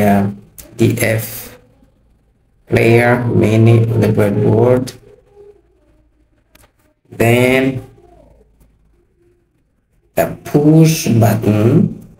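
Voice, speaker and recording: A man presents a hands-on tutorial at a very slow, halting pace, often thinking aloud. His voice has a gravelly creak, and the room around him echoes. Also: medium pitch, fairly even intonation, darkish tone. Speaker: host of electronics tutorial. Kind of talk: unscripted step-by-step tutorial.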